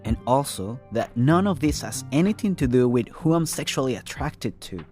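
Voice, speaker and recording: Reflective young man narrating, soft music underneath. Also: medium pitch, animated, normal speed. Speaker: storyteller and narrator. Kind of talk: first-person scripted narration. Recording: faint music bed behind.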